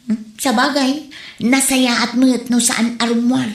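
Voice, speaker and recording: An actress playing a gossipy, skeptical village neighbor with an Ilocano Filipino accent in a comedy drama. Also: female voice, fairly high pitch, flat delivery, measured pace, echoey room.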